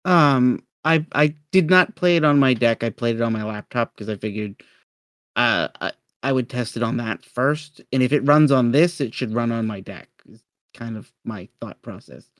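A man co-hosting a podcast in a low-key, conversational manner, chatting casually. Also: normal speed, a bit bright, no room sound. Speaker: podcast co-host.

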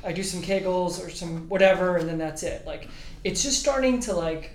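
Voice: medium pitch, moderate pace. Podcast host, casual interview chat, rambling and emphatic, roomy sound, light background noise. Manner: rambling, emphatic, informal, peppered with "like" and "you know".

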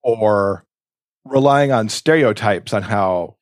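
Low-pitched man, close dry sound. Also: slow, dry recording. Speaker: podcast co-host. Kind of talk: conversational podcast discussion.

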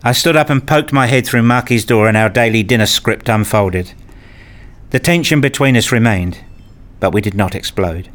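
A man's voice: on the low side, moderate pace, fairly bright tone, lightly acted. A wry, playful husband and father played by a man tells his story in a radio play. He sounds like a nerdy everyday dad, slipping between first-person narration and family dialogue.

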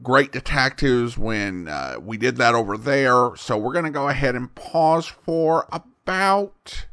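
Bright, animated male podcast host. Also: mid-range voice, a little slow, clean tone. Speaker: podcast host introducing old radio programs. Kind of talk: conversational presenting.

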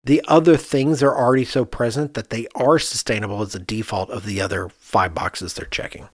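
A man's voice: medium pitch, steady tone, a little fast, dry recording, studio quality. A man with an American accent co-hosting a casual discussion, explaining things and thinking aloud as he goes. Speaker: podcast co-host and architect. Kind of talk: casual conversational discussion of architecture.

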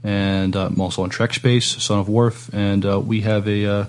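A man speaks as a podcast co-host in casual conversation. His voice is moderately low. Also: little pitch movement, moderate pace. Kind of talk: casual chat.

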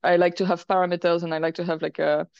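A woman's voice: fairly low pitch, little pitch movement, fast, creaky, darkish tone, smooth, no echo. A young woman from France, a guest chatting casually in an interview, speaking hesitantly with many fillers. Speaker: guest, chess boxer and painter. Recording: dry, dull lo-fi sound.

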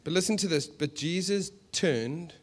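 A South African man preaching conversationally to a congregation, animated, with joking asides and rhetorical questions. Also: a little high, measured pace. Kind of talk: sermon to a live congregation, conversational storytelling.

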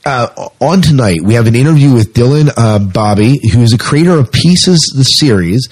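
A man hosting a podcast in a casual, conversational way, sometimes halting and repeating his words. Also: mid-range voice, expressive, dry recording. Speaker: podcast host.

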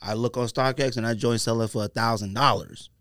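A man's voice: medium pitch, fairly even intonation, a little fast, a bit bright, no room sound, studio quality. A man hosting a casual podcast debate, talking in slang and repeating himself emphatically for effect. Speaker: podcast host. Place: podcast studio.

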